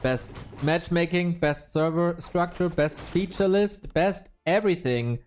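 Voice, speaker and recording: A man's voice: a little high, unhurried. A man casting a live esports match, joking and thanking viewers from chat. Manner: jokey, animated, reads out donations. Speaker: esports caster. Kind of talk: live game commentary with chat shout-outs.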